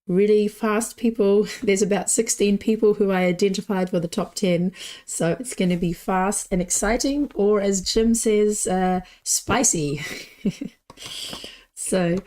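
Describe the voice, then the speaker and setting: A woman hosting a live online puzzle contest, husky and a bit hoarse from a cold, chatty with frequent ums and light laughs. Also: medium pitch, a little mellow. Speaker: host of an online puzzle competition. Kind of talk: casual live-stream hosting.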